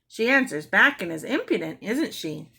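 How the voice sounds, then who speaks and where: A woman voicing a calm, knowing lady who advises on children in a storybook, polite and assured. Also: medium pitch, normal speed, vocal fry, slightly rough, full character voice.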